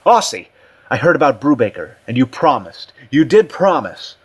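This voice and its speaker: A man's voice: lowish voice, expressive, a little slow. A man voicing an unhinged academic in a story, emphatic and oddly flippant in manner. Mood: desperate, accusing. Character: unhinged male academic, pleading turning eerily flippant.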